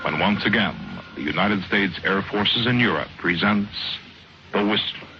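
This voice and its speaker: A man's voice: on the low side, unhurried, very noisy. A man giving a scripted 1950s radio sign-off as a military announcer. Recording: muffled old broadcast with loud music.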